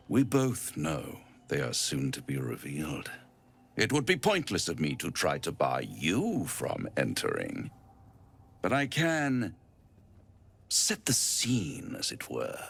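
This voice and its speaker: An actor playing a suave, smug, silver-tongued devil in a fantasy game, pompous and florid, savouring each phrase. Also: male voice, rumbling low, vocal fry, a bit bright, heavily acted. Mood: sly, amused. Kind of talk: theatrical fantasy game acting, rhyming and grandiose.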